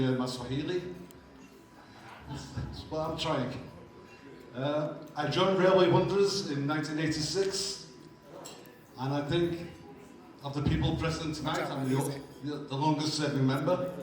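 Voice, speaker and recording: A man's voice: fairly high pitch, a little mellow. An older man from England with a Liverpool accent, giving a humorous impromptu speech as a veteran club member at an evening party. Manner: humorous, self-deprecating. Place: large hall at an evening party. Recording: echoey hall from far off.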